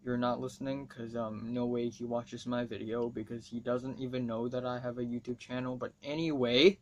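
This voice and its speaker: A man's voice: mid-range voice, flat delivery, normal speed, a little mellow, muffled recording. A teenage boy from Hong Kong whose first language is Cantonese talks casually to camera, rambling, swearing freely and making wry asides. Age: teens. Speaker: student vlogger.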